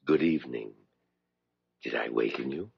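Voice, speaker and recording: A mysterious, ominously courteous male caller in a radio play. His bottomless bass is full of gravel, and his formal lines swing slyly in pitch. The old broadcast recording is somewhat muffled. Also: full character voice. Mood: smooth, ominous. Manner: formal, deliberate, insinuating. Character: mysterious, sinister, courteous male stranger offering opportunity. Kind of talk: 1970s radio drama acting.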